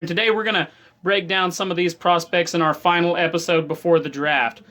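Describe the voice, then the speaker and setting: A man's voice: sits high, fairly even intonation, a little fast, pushed and bright. A man hosting a sports interview show, speaking in an upbeat, enthusiastic way. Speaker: host.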